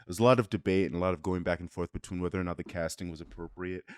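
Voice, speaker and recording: Low-pitched man, close dry sound, chatting. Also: steady tone, rapid-fire, a little mellow, dry recording. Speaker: review show co-host. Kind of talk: casual film review chat.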